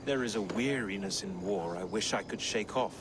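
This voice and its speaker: A genial scholar from a medieval game played by a man speaks in courteous, wordy, wry lines. His voice is fairly low, and loud ambience surrounds it. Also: moderate pace, heavy background noise, natural voice. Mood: warm, weary. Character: genial, wry male scholarly English ally. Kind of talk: dramatic video game cutscene acting. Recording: loud outdoor ambience behind.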